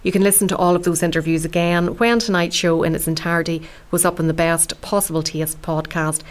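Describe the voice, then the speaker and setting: Irish lady radio host, fairly low voice, chatty, presenter patter. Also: steady tone, brisk. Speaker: radio food show host. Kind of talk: radio interview and links.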